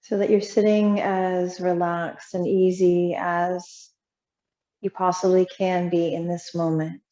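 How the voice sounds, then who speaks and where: A woman yoga teacher leading a guided meditation in a soothing, unhurried, gently instructing way with long pauses. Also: on the low side, level tone. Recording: roomy echo, slightly muffled sound.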